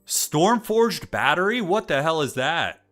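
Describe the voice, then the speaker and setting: A man's voice: fairly high pitch, sing-song, a little slow, fairly bright tone. A man giving casual, slangy live commentary as he plays a strategy game, thinking aloud. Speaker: streamer playing a strategy game. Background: game music.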